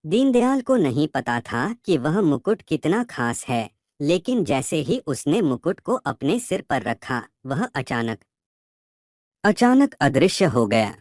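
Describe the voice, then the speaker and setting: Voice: medium pitch, sing-song, slow pace, a little mellow, dry recording, clean studio sound. A storyteller narrating a scripted moral tale for children.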